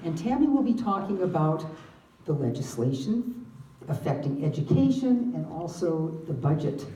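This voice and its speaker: An older woman, president of a civic group, formally introducing a guest speaker in a measured way. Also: low voice, big pitch swings, warm tone. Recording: echoey room, distant mic, faint noise.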